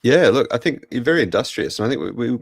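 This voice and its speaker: An Australian man with a croaky voice, casually hosting a podcast. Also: medium pitch, very animated, rapid-fire, no echo, clean studio sound. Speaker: podcast host.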